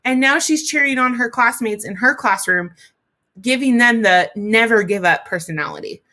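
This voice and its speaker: A woman teacher reading a story aloud to children, expressively, pausing to explain it and talk directly to young listeners. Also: on the high side, lively intonation, bright, forceful tone.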